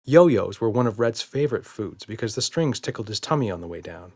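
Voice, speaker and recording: A man's voice: lowish voice, lively intonation, fairly quick, darkish tone, slightly rough. A man reading a children's audiobook as narrator, in scripted storytelling style.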